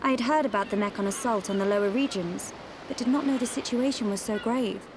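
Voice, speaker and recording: A woman's voice: medium pitch, brisk, background noise, natural voice. An actress playing a reserved, dignified young noblewoman in a video game, with a formal, clipped delivery. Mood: formal, composed. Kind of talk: video game cutscene acting.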